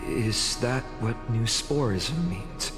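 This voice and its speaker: An actor playing an earnest, solemn young hero in a video game, speaking in a grave, measured manner. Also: male voice, mid-range voice, lively intonation. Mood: doubtful, grave. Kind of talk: dramatic video game voice acting. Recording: long reverb, music behind. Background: music.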